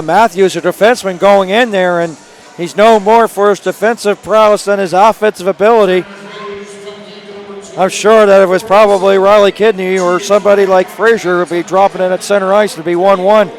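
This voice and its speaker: A male play-by-play announcer with a raspy, hoarse voice calling a live hockey game from an arena booth, quick and rising to excited calls on scoring chances. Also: sits high. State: hoarse from days of calling games.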